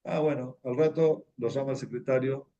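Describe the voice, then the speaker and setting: A man with an Argentine Spanish accent giving an informal religious talk, telling anecdotes in a halting, conversational way and repeating words as he goes. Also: medium pitch, fairly even intonation, warm tone, lo-fi sound. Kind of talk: informal sermon, anecdotal storytelling.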